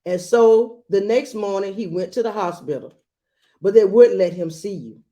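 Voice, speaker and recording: A woman reading a children's picture book aloud, adding gentle explanatory asides for young listeners. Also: mid-range voice, normal speed, lo-fi sound. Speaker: read-aloud storyteller.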